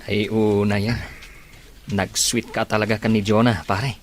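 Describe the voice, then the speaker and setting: A man plays a supportive young buddy in an audio soap, teasing and hopeful. His voice runs fairly low, over faint background noise. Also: flat delivery, measured pace, close to an everyday voice. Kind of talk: Ilocano audio soap drama acting.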